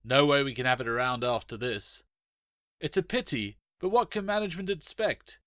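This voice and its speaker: A man's voice: mid-range voice, expressive, normal speed, a bit bright, no echo, studio quality, pitched above the performer's own voice, lightly acted. A man voicing a blunt, grumbling male custodian at a fantasy park in a story reading. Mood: grim resignation. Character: gruff, exasperated male theme park custodian.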